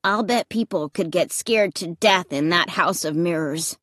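A woman's voice: a little high, lively intonation, measured pace, fairly bright tone, no echo, studio quality. A woman voicing a timid, doubtful young boy in a children's audiobook, hesitant, subdued and serious. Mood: doubtful, solemn.

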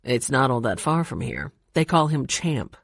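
A woman voicing an elderly grandfather with a warm, gently teasing manner. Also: rumbling low, lively intonation, moderate pace, gravelly, breathy edge, dry recording, clean studio sound, voice pushed down, heavily acted. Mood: kindly. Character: kind, teasing old grandfather.

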